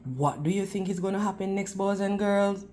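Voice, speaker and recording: A woman's voice: medium pitch, normal speed, warm tone, a little hiss or hum. A woman reading a storybook aloud to young children in a teacherly manner, prompting her listeners with questions.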